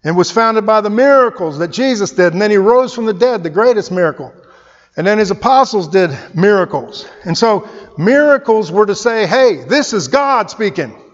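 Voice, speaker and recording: A man's voice: high voice, lively intonation, moderate pace, big-room sound, dull audio. A male pastor preaching a sermon, emphatic and repetitive, firing off rhetorical questions. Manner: emphatic, rhetorical questions, repeats key phrases.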